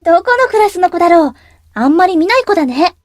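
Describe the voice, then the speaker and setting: A woman's voice: very high-pitched, very animated, racing, no echo, clean studio sound. A woman voicing a chatty, curious teenage schoolgirl who gossips in a cooing way in a Japanese visual novel.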